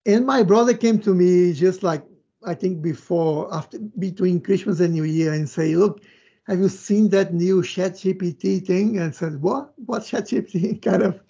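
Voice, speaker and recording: A man's voice: sits high, moderate pace, creaky, warm tone, slightly rough. A man from Brazil with a Brazilian accent, giving an informal tech presentation in a conversational, loosely phrased way. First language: Portuguese. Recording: dull, muffled lo-fi audio.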